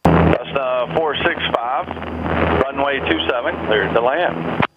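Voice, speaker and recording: A man's voice: mid-range voice, very animated, slow, highs cut off. Male tower controller on a noisy aircraft radio. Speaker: air traffic controller in a tower. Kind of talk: clipped radio phraseology with clearances. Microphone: radio heard through aircraft intercom. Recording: radio transmission with clear engine noise.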